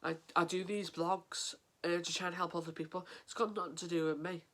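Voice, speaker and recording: An English man vlogging about mental health, talking in an earnest, halting way with lots of fillers and restarts, sniffly and stuffed up from a head cold. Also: sits high, steady tone, fairly bright tone. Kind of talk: casual to-camera vlog.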